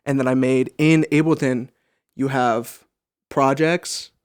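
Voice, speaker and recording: A man's voice: medium pitch, steady tone, slow pace, smooth, no echo, clean studio sound. A man co-hosting a podcast, talking casually and playfully, repeating words as he explains things. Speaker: podcast co-host.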